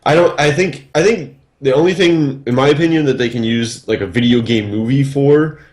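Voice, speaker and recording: A man's voice: medium pitch, moderate pace, a little mellow, reverberant. A North American man giving opinions in a casual podcast chat, very informal and peppering his speech with "like". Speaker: podcast co-host.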